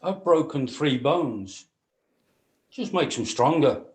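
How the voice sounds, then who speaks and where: A man acting a gruff, callous, domineering stepfather in a script read, scornful and given to exasperated orders. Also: fairly high pitch, lively intonation, takes it slowly, a little mellow. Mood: offhand. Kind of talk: actor's script table read.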